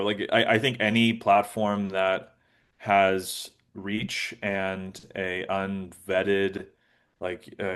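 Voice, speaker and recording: A man answering as an interview guest, talking informally and haltingly with many filler words. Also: low voice, a bit bright. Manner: informal, halting, frequent "like" and "you know". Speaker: interview guest, app founder. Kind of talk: conversational interview.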